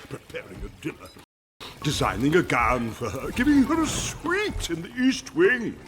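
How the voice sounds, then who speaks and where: An actor playing a hot-tempered, imperious beast in film dialogue, explosive and scornful. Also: male voice, on the high side, wildly swooping pitch, moderate pace. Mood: scornful outrage. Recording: noisy film clip audio.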